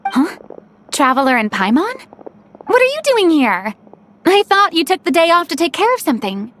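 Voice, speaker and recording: High, theatrical young film director. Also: female voice, sing-song, moderate pace, a bit bright, slight background noise, full character voice. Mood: relieved, friendly. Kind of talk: video game voice acting.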